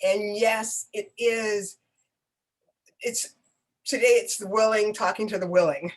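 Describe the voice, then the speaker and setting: A woman interviewing a guest in a live online conversation, speaking haltingly with many pauses and restarts and warm encouragement, heard over a video call. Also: medium pitch, steady tone. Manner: effusive and encouraging. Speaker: interviewer for a nonprofit network.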